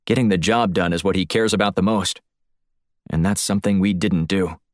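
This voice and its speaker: A man's voice: low-pitched, fairly even intonation, fairly quick, no echo, studio quality, close to an everyday voice. A man voicing a cool, wry male secret agent in an audiobook, terse and unflustered. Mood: resolute. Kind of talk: audiobook character voice.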